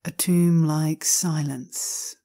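A woman leads a guided meditation, reading short phrases in a calm, measured manner with long pauses. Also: deep voice.

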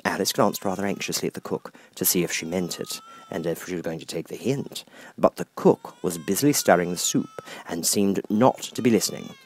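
A man reading a children's storybook as an audiobook narrator, in expressive scripted narration. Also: low voice, a little fast.

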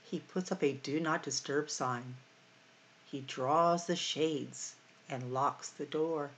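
A middle-aged woman hosting a library storytime, reading picture books aloud in a deliberate, expressive way for young children. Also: sits low. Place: small room at home or office, indoors.

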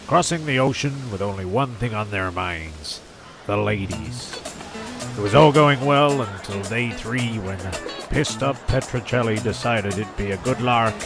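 A man's voice: on the low side, gravelly. A man reading scripted comic storytelling narration.

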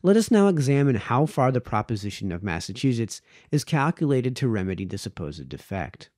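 Male reader, 30s. Deliberate book narration with wide pitch movement and a warm, relaxed tone. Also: no room sound, clean studio sound. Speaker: reader, host of a read-aloud series. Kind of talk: reading aloud from a book of formal essays. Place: small home office, indoors. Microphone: close boom-arm podcast microphone, headphones.

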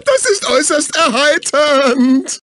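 A man's voice: extremely high pitch, expressive, takes it slowly, a bit bright, full character voice. A male actor voicing a fussy, snobbish, servile executive assistant in a dubbed cartoon video game, with a prissy, condescending, exclamatory delivery. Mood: smug, pleased. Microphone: close studio microphone.

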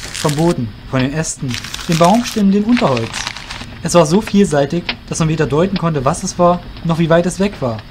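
A man's voice: a little high, noisy background. A man narrating a fantasy audio drama from a script.

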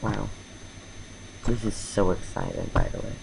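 A man's voice: on the low side, measured pace, creaky, background noise. A man casually commentating his own gameplay, exclaiming at crashes and making self-mocking asides. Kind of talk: casual let's-play commentary.

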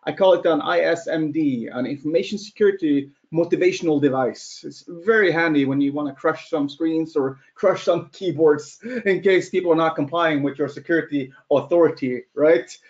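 A man's voice: a little high, normal speed, few pauses, darkish tone. A man in his thirties from Norway giving a casual webinar talk with a slightly American-sounding accent, chatty, tossing out rhetorical questions and laughing at his own points. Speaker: webcast presenter. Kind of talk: casual live webinar presentation. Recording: dull lo-fi webinar audio.

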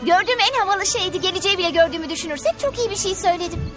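A woman's voice: very high-pitched, animated, very fast. A woman voicing a dramatic, melancholy cartoon cave girl who sees the future, in a dub. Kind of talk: cartoon dubbing. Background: loud background music.

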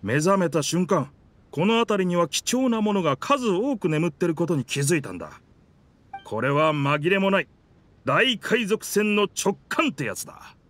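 A man's voice: sits high, very animated, moderate pace, fairly bright tone. A man voicing a proud talking pirate ship in a video game, with boastful, theatrical, old-fashioned speech. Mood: confident, persuasive. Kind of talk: Japanese video game character dialogue.

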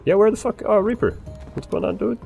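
A man improvising in character during a role-play game, excitable and swearing freely. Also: high-pitched, a little fast, vocal fry, faint music behind. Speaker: role-play player, bar owner character.

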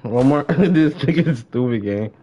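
A man's voice: medium pitch, lively intonation, moderate pace, dark tone. A young American man chatting casually on a live game stream, slangy and repeating short phrases. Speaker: live streamer playing an online roleplay shooter game. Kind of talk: casual live gameplay chat. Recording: slightly dull, lo-fi stream audio.

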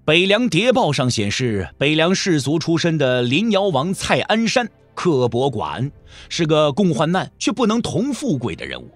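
Narrator, male: expressive, swooping delivery of scripted dramatic fiction, dry studio sound with a faint music bed. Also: a little high, dry recording.

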